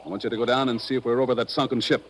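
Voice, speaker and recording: Gruff, menacing skipper played by a man, noisy recording. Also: medium pitch, steady tone, rapid-fire, close to an everyday voice. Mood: curt, commanding. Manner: curt, commanding, sly. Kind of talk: vintage radio drama acting. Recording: noisy old radio recording.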